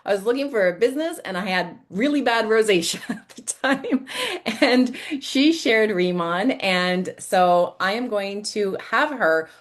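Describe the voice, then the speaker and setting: A woman's voice: medium pitch, expressive, measured pace. A woman hosting a business call, casually and enthusiastically introducing the next speaker. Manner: enthusiastic, uses fillers. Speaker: host introducing a guest.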